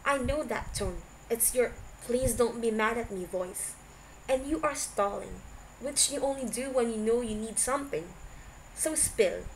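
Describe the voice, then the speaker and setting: Woman acting an exasperated young man, half-yelling, noisy recording. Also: a little high, measured pace. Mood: irritated. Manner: indignant, pressing, half-yelling. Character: exasperated young man snapping at his boyfriend. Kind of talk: amateur fan fiction audiobook dialogue. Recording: noisy amateur home recording.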